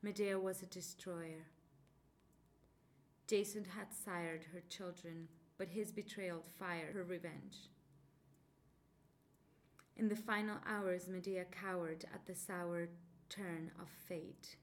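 Slow, careful young woman. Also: fairly low pitch, fairly even intonation, slight background noise. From Mexico. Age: 20s. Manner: careful, deliberate, many ums. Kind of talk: reading a passage, then casual self-introduction.

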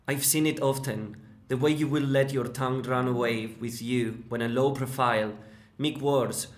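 A man's voice: mid-range voice, steady tone, normal speed, natural voice. A man with a Spanish accent reading the part of an exasperated husband who lectures his wife. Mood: scolding. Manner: reproachful, lecturing. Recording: roomy echo.

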